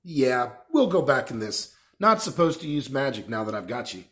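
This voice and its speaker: Guy doing a big gruff friendly giant from a storybook, blunt country talk, pitch jumps all over. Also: big pitch swings, moderate pace, a bit bright. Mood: hearty. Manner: folksy, exclamatory, drops words. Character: giant gruff good-natured man, blunt and rustic.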